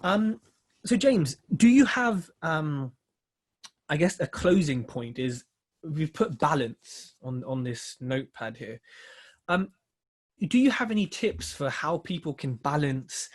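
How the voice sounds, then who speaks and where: A man's voice: on the high side, animated, slow. A man hosting a podcast, chatting casually with plenty of fillers and restarts as he steers the conversation. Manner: rambling, filler-heavy, asks questions and runs the show. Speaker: podcast co-host.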